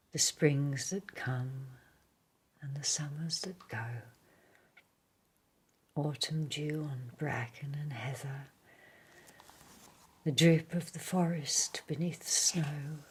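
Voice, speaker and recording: A woman reads children's poems in a hushed, soothing ASMR whisper. Her voice is low and very breathy, and she takes her time over every line. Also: steady tone, slow pace, long pauses. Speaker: reader. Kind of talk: whispered ASMR poetry reading.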